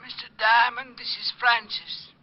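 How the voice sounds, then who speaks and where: A man's voice: very high-pitched, little pitch movement, unhurried, slight background noise. An actor playing a prim, deferential male butler on the telephone in an old radio drama, formal, fussy and hesitant. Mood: polite. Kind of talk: 1940s-50s radio drama acting. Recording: muffled old radio recording.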